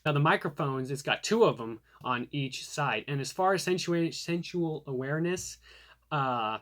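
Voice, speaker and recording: A man's voice: fairly high pitch, animated, moderate pace, fairly bright tone. A man giving a casual hands-on product review, explaining things in an informal, conversational way.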